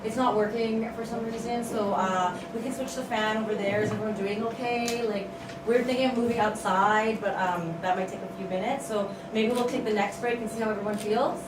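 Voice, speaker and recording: A woman's voice: mid-range voice, fairly even intonation, fairly quick, noisy background. A woman hosting a group event, announcing informally in a casual, hesitant, apologetic way. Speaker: host of a script table read. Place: large indoor room at a live event. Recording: echoey large room, distant mic, loud crowd chatter. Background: loud crowd chatter.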